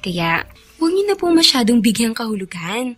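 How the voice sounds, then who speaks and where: A woman playing a gentle, hardworking young plantation worker in a Filipino radio drama. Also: fairly high pitch, big pitch swings, moderate pace. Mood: concerned, soothing.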